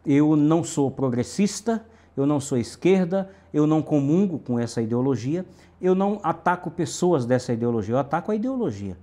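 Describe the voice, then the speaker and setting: A man's voice: a little high, lively intonation, brisk, warm tone. A middle-aged man from Brazil's Northeast, a city councillor answering interview questions emphatically with lists and repetition.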